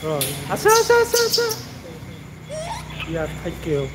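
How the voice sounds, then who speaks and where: A Vietnamese woman hosting a casual vlog, chatting to pets and viewers and often repeating words and names in quick runs. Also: deep voice, wildly swooping pitch. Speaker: vlog host filming pet monkeys. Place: large open-sided roofed restaurant at night. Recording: very noisy outdoor recording with insects and animals. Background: crickets, insects and animal sounds.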